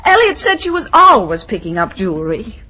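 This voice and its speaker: An actress playing a breezy, lovestruck young college-age daughter in old-time radio comedy style. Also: female voice, fairly high pitch, very animated, fairly quick, sharp tone, heavily acted. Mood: gushing. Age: young adult. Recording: noisy old radio recording.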